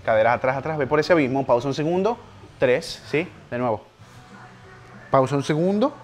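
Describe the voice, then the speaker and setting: A man's voice: medium pitch, lively intonation, moderate pace, a little hiss or hum. A young man, a personal trainer coaching a client through a workout live, counting reps and urging her on. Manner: urgent encouraging counting of reps, pushes harder near the end. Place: home garage gym, indoors.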